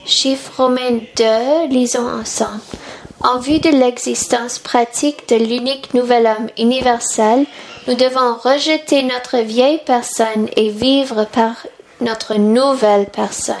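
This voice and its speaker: A woman delivers a sermon as an interpreter, one phrase at a time. Her voice sits fairly high and she speaks very slowly. There is some noise in the background. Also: fairly even intonation.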